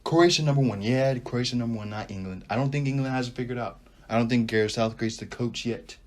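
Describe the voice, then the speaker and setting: A young man hosting a sports podcast, talking casually and rambling with animated asides as he thinks out loud. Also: mid-range voice, fast talker. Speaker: podcast host. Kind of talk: casual sports talk monologue.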